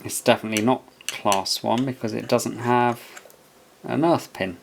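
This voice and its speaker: A man's voice: mid-range voice, fairly even intonation, moderate pace, gravelly, noisy background. A man giving a casual product review, musing aloud as he inspects things piece by piece. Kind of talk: casual unboxing and review commentary.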